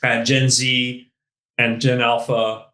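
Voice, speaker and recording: A man speaking as a podcast guest, talking in an animated, emphatic way and swearing casually. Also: medium pitch, slow pace. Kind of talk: casual interview talk. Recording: roomy echo.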